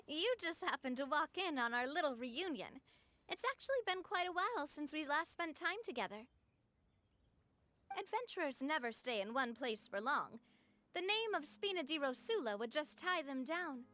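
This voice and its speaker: An actress playing a cheerful young female gang leader in a game, gracious and lively. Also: high-pitched, moderate pace, full character voice. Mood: modest. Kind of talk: game voice acting.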